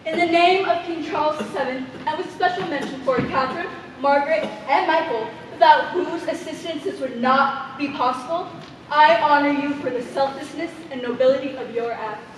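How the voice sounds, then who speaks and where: A woman's voice: high-pitched, normal speed, long pauses, close to an everyday voice. A woman acting a dithering, stubborn eccentric in an amateur stage play, with a repetitive, exclamatory way of talking, heard in a noisy hall. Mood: grandly ceremonial. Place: theater stage, recorded from afar. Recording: very echoey hall, distant mic, noisy.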